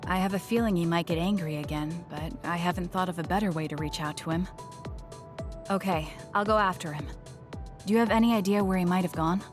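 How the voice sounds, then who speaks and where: A young woman playing a composed, blunt and earnest platoon fighter in an anime game dub, with a measured, matter-of-fact delivery. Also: lowish voice, over music, close to an everyday voice. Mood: concerned.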